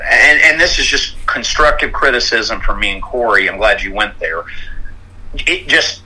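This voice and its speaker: Southern American male, forceful and raspy, lowish pitch, wry conversational delivery. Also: expressive, moderate pace, rough recording. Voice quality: loud, rough-edged. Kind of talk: casual chat.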